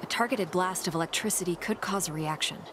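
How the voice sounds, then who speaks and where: Actress as low-voiced scientist woman, noisy background. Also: natural voice. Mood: focused, curious. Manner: clinical, observant, measured, talking to herself. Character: analytical woman scientist stranded on an alien world, dictating field logs. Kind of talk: video game voice acting, scientific log narration. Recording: clear background noise, game ambience.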